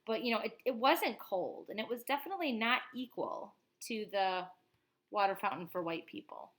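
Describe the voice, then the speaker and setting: A woman's voice: medium pitch, expressive, normal speed, a bit bright, slight background noise. A woman teacher reading a picture book aloud expressively, with informal explanatory asides to children.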